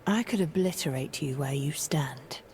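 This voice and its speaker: A woman performs a cold, scheming sorceress from a video game, low and darkly mellow. She speaks with formal, imperious menace, over faint background noise. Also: normal speed, close to an everyday voice. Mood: menacing, hushed. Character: cold scheming ancient sorceress, regal manipulator plotting from shadows. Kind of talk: scripted video game character voice lines.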